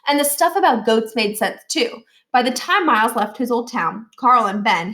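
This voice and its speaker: A woman narrating a children's novel aloud in an expressive storytelling style. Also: on the high side.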